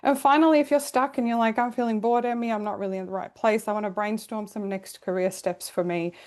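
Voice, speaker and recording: A woman's voice: a little high, steady tone, fast talker, a little mellow. A middle-aged woman from Sweden, a career coach, hosting an online workshop over a video call in an upbeat, chatty and welcoming way with light laughs. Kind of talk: live online workshop presentation.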